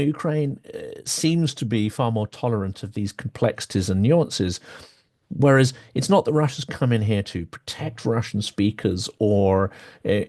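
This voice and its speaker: A man's voice: medium pitch, a little mellow. A male host in a conversational interview, building his points thoughtfully and ending them with tag questions.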